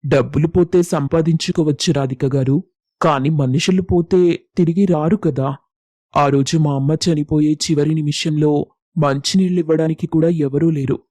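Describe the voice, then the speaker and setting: A man's voice: on the high side, steady tone, normal speed, mellow, dry recording, close to an everyday voice. A man voicing a kind, selfless young village helper in a moral story, earnest, reassuring and respectful. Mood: sincere, sorrowful. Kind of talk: dramatised moral story voice acting.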